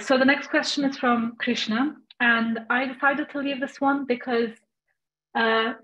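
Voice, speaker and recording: A woman's voice: fairly high pitch, fairly bright tone. A young adult woman informally moderating a webinar Q&A and relaying questions over a video call. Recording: dull, lo-fi video call audio.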